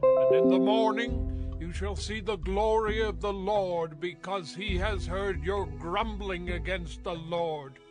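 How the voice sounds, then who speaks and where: An indignant male leader rebukes his people. His voice is high and deliberately slow, declaiming in a scripture drama. Music runs clearly underneath. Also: steady tone. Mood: stern rebuke. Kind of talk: dramatized scripture audio acting.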